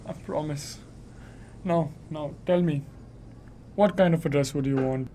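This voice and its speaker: A man's voice: fairly high pitch, unhurried, mellow, lightly acted. A young man playing a devoted, eager-to-please clerk husband in an amateur radio drama, tender and reassuring. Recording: noisy amateur recording.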